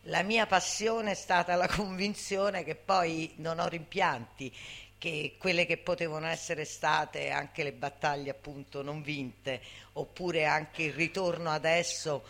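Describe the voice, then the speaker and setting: A woman's voice: low voice, fairly even intonation, unhurried, fairly bright tone. An older woman speaking informally and passionately to others, emphatic and often seeking agreement with tag questions. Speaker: guest speaker, veteran activist. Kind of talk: impassioned informal public talk.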